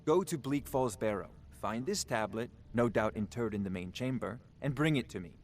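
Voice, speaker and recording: A man's voice: medium pitch, expressive, normal speed, a little hiss or hum, natural voice. A haughty scholar-wizard of a royal court, acted by a man. He lectures in pedantic, theatrical phrases and talks down to whoever is listening, in the manner of fantasy game dialogue. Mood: smug, lecturing. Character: haughty, scholarly male court wizard obsessed with dragon research. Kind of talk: video game character dialogue.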